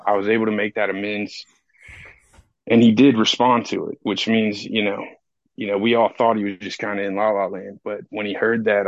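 A man, hoarse and under the weather, speaks in a low, gravelly, monotone voice. He tells his story in a reflective, rambling way with plenty of fillers. The sound is dull and dry. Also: no echo. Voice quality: raspy, gravelly. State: hoarse from a cold. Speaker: podcast co-host. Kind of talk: casual recovery podcast talk.

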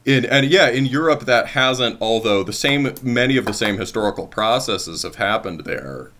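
A man's voice: mid-range voice, animated, normal speed, a bit bright. A man interviewing a guest in casual conversation, rambling with many hedges and fillers and laughing easily.

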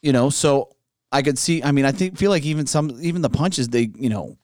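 A man co-hosting a casual talk show, offering commentary and thinking out loud in a conversational way. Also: mid-range voice, a little fast, no room sound, clean studio sound. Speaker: podcast co-host.

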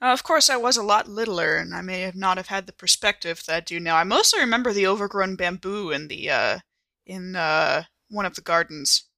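A woman's voice: fairly low pitch, a little fast, vocal fry, bright, forceful tone, dry recording. A woman playing a tabletop role-playing game, chatting casually with the group.

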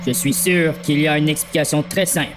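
A man playing a polite, firm police officer with formal, measured phrasing in an audio drama. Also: a little high, steady tone, music in the background, natural voice.

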